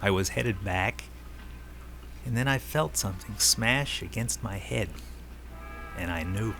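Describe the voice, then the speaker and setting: An actor playing the ghost of a dead soldier, an eerily calm and plainspoken Army buddy, in an American radio drama. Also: male voice, on the low side, measured pace, vocal fry, noisy background. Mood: reflective, haunted. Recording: vintage radio recording, music behind.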